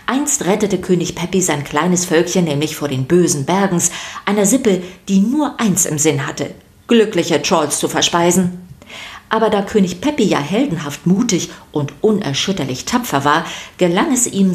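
A woman reading scripted children's story narration in an expressive, playful way. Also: fairly low pitch.

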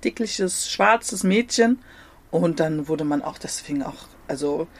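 A woman's voice: low-pitched, animated, measured pace. A young woman from Germany, talking casually as an interview guest, hesitant with many fillers and frequent laughs. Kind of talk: casual conversation.

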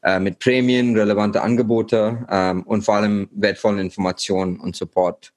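A man in his thirties from India, a startup founder talking as a podcast guest with many pauses and fillers. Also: on the low side, flat delivery, darkish tone. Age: 30s. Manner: hesitant, many fillers and restarts. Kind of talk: podcast interview.

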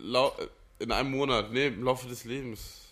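A man talking as a podcast co-host in relaxed, informal banter with friends. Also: mid-range voice, normal speed, a crowd in the background. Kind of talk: casual banter among friends.

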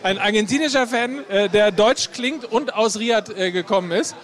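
Male live-show host, high-pitched, jokey and quick with the banter. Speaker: podcast host. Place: live event.

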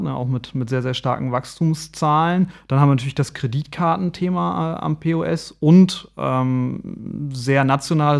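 A man's voice: mid-range voice, gravelly. A man, speaking as an expert interview guest, hesitant and searching for words. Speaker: guest, payments consultant.

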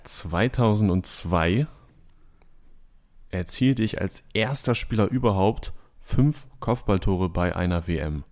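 A young man hosting a podcast quiz, reading out clues in a calm, measured way. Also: deep voice. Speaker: quiz host.

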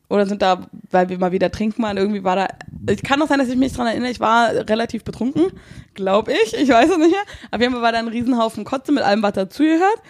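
Rambling young woman, slightly high voice. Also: darkish tone. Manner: rambling, hesitant storyteller who laughs a lot. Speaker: podcast co-host. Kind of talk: casual chat.

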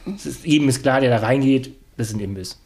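A man's voice: medium pitch, fast talker, a little mellow. A man hosting a podcast, chatty and self-deprecating, speaking with a regional dialect. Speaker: podcast host. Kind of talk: casual podcast conversation.

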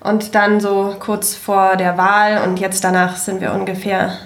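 A woman in her thirties from Berlin chats casually as a guest. She is frank and pokes fun at herself, in a voice of ordinary pitch. The sound is roomy, with plenty of echo. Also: level tone, a little slow, slight background noise. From Berlin, Germany. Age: 30s. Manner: chatty, frank, self-mocking. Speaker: party volunteer guest. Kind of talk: casual podcast chat. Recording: roomy echoey room.

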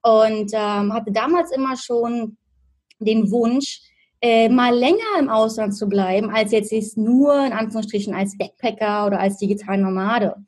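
A woman in her thirties from Berlin, a guest telling her story in a relaxed, chatty way, with many fillers and restarts and frequent laughs. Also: on the high side, normal speed. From Berlin, Germany. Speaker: interview guest. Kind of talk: casual conversational storytelling. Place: in Australia.

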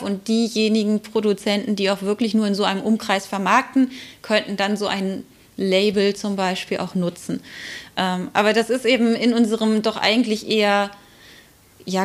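A woman explaining things informally as an expert guest in an interview, with frequent hesitant pauses. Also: mid-range voice.

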